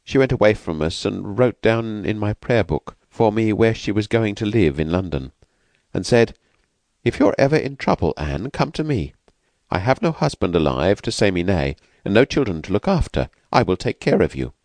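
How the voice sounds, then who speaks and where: A man voicing a frightened, fragile young woman who has escaped from an asylum, speaking softly and hesitantly. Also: on the low side, sing-song, normal speed, no echo, pitched above the performer's own voice. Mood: fearful, wistful. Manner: hesitant, vacant, soft-spoken.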